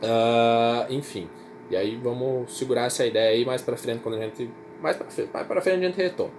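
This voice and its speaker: A man's voice: mid-range voice, normal speed, background noise. A Brazilian man teaching a history class in a casual, repetitive way, often checking in with his students. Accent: Brazilian Portuguese. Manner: casual, repeats himself, checks in with "certo?" and "tá?". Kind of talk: informal recorded class lecture.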